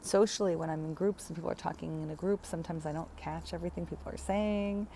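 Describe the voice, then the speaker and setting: A woman's voice: on the low side, fairly even intonation, fast talker, warm tone, a little hiss or hum. A woman in her thirties, chatting casually in a personal vlog, rambling with fillers, self-corrections and light laughs. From United States. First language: English. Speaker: vlogger.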